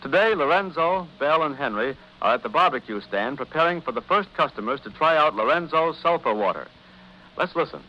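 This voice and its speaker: A man's voice: fairly high pitch, lively intonation, a little fast, pushed and bright. A man narrating an old-time radio serial as its announcer, from a script. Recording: muffled old radio recording.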